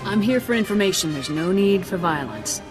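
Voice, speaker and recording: A woman's voice: mid-range voice, animated, moderate pace, over music, natural voice. An actress playing a tough, no-nonsense young woman soldier in a video game, curt and businesslike. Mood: cool, firm.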